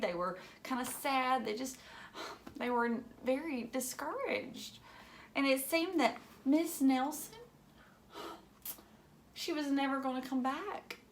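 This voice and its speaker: A woman's voice: high voice, creaky, slight background noise. A woman reading a picture book aloud to children, theatrically, with questioning asides to the young listeners.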